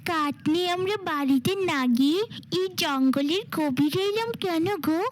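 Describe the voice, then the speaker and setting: A woman's voice: sits high, normal speed, lightly acted. A woman voicing a devoted, timid little girl in a cartoon fairy tale, with a pleading, childlike manner. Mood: timid, tired. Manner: pleading, childlike, affectionate way of addressing mother.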